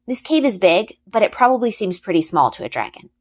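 A woman's voice: mid-range voice, sing-song, a little fast, pitched above the performer's own voice. A woman reads as an eager young girl from a children's storybook. She is confident and casual, with raised, bouncy intonation that swings widely.

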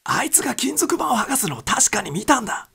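A man's voice: extremely high pitch, big pitch swings, quick pace, gravelly, sharp tone, breathy edge, voice pushed up, heavily acted. A man voicing a desperate, panicked male passenger in a story reading, urgent and pleading.

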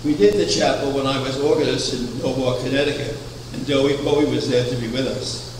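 A man's voice: medium pitch, darkish tone. Older man, sermon at a pulpit, echoey chapel, recorded from far off, air conditioning hum. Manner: measured, anecdotal, with rhetorical questions. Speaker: preacher, founder of a religious order. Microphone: far camera.

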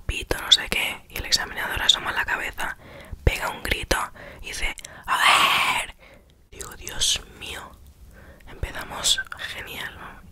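Whispering young woman telling a story. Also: pushed and bright. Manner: chatty, self-mocking, acts out quoted exchanges.

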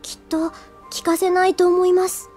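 A woman's voice: very high voice, little pitch movement, normal speed, music in the background. An actress playing a naive, earnest teenage schoolgirl in an anime audio drama, hesitant and easily flustered. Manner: hesitant, innocent.

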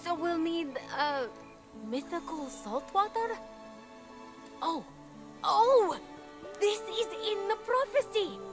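A woman voicing an eager, slightly awkward young mortal heroine in a fantasy video game, earnest and excitable. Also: very high voice, slow, long pauses, over music, heavily acted. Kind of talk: video game character acting.

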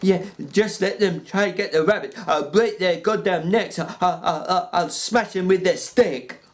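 A reader voicing a big simple-minded man who talks eagerly and childishly, begging and repeating himself, pitched high above the narration. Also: a little slow, natural voice. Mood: fierce.

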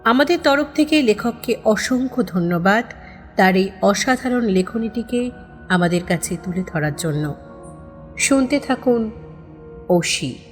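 A woman's voice: mid-range voice, animated, measured pace, long pauses. A woman reading a scripted introduction as the announcer of an audio story programme. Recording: long studio reverb, background music.

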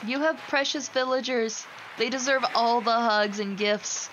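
A woman giving casual, expressive commentary as she streams a video game, gushing and talking in slangy, sweary language. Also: fairly high pitch, unhurried, muffled recording, background noise. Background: game music.

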